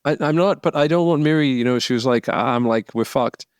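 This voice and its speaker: A man's voice: medium pitch, fairly quick, smooth, dry recording, clean studio sound. A man talking bluntly and emphatically as an interview guest, swearing for emphasis. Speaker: guest speaker. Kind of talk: conversational interview.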